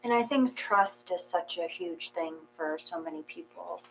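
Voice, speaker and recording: A woman co-hosting a meditation class, guiding gently and calmly, then chatting with soft agreeing murmurs. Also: lowish voice, moderate pace, vocal fry, a little hiss or hum, poor audio. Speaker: meditation co-host. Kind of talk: guided meditation and casual chat.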